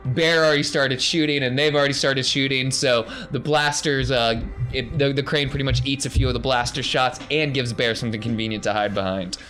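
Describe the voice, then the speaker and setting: Male game master, mid-pitched, chatty and playful narration of tabletop play, soft music underneath. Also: steady tone, brisk, few pauses. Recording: music behind the voice.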